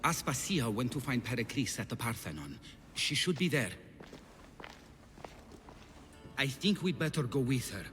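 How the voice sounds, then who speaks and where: Caring athenian man in a game cutscene, solemn but lively pitch, faint music behind. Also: fairly quick. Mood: worried. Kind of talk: dramatic video game cutscene acting.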